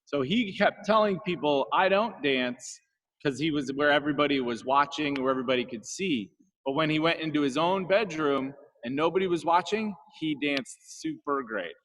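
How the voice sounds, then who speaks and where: A man's voice: on the high side, a bit bright. A man talking casually with children as the guest reader at a read-aloud. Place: video call.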